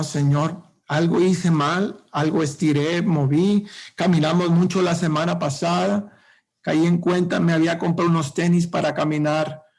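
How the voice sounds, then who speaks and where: A man preaching a sermon to a congregation, with impassioned, drawn-out emphasis. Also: a little high, creaky, darkish tone. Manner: speaks directly to the congregation.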